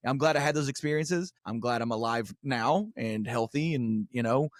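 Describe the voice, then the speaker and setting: A man's voice: mid-range voice, moderate pace, dry recording, clean studio sound. A middle-aged man chatting casually as a podcast guest, telling rambling, wry, profanity-laced stories with colorful turns of phrase. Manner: animated, profane, wry philosophizing with colorful comparisons. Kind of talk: casual chat, rambling storytelling.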